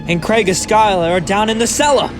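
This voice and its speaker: A man's voice: high voice, a little fast, over music, lightly acted. A man playing an urgent adventurer warning of danger in an amateur sci-fi audio drama. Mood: alarmed.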